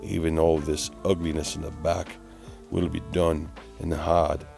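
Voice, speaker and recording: Man putting on a deep, pompous, melodramatic narrator. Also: little pitch movement, gravelly, warm tone, soft background music, full character voice. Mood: solemn, melodramatic. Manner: theatrical, pompous, drawn-out repetition. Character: pompous, exasperated, melodramatic male narrator mocking the painter. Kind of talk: mock-dramatic DIY voice-over narration.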